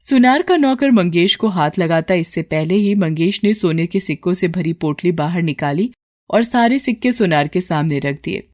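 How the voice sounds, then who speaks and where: A woman's voice: on the low side, expressive, normal speed, darkish tone. A woman storyteller reading a scripted moral tale as narration.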